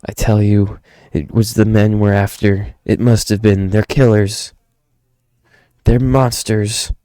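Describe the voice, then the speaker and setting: A desperate father and prospector, acted out by a man reading a comic, pleading urgently. The voice is pushed well above the reader's own low pitch, with an imploring edge and very little room sound. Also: little pitch movement, slow, dark tone, clear, resonant tone, dry recording. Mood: alarmed, insistent.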